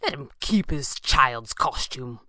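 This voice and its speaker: A man's voice: mid-range voice, animated, slow pace, bright, forceful tone, dry recording, clean studio sound, heavily acted. A man voicing a menacing, power-hungry villain in a pulp adventure audiobook, mocking and grandiose. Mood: scornful. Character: menacing, ranting, power-hungry male villain, sneering and theatrical.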